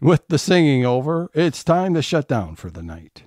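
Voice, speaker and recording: A man's voice: fairly high pitch, sing-song, moderate pace, darkish tone, no room sound, faint music behind. A man playing a wry scene-setting narrator, reading scripted narration for a comedy audio play.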